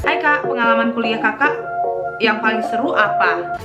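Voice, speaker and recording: A woman's voice: on the high side, moderate pace, loud music behind the voice. A young woman from Indonesia answering viewer questions in a casual vlog, chatty and mixing English into her talk, laughing often. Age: young adult. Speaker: vlogger.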